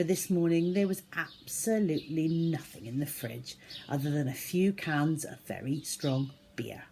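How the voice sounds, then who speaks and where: A woman reading a children's book aloud as a storyteller, in an expressive, theatrical manner. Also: deep voice, slight background noise. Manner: animated, theatrical, with acted-out sound effects.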